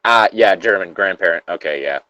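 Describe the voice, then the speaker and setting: A man's voice: low voice, fairly quick, pushed and bright, dry recording. A man co-hosting a comic read-aloud, bantering casually and swearing with mock indignation. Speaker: co-host reading video game creepypastas aloud.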